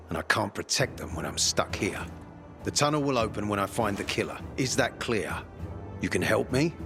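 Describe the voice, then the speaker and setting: An actor playing a stern, commanding military peacekeeper officer in a video game, authoritative and sardonic. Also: male voice, sits low. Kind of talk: video game cutscene acting. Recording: game music behind the voice.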